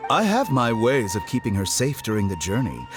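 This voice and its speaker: A man's voice: medium pitch, wildly swooping pitch. An actor playing an arrogant male doctor and scholar in a video game, mock-polite and condescending, drawing out his words for menace. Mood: haughty. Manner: theatrical, mock-polite, drawn-out emphasis. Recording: music behind the voice.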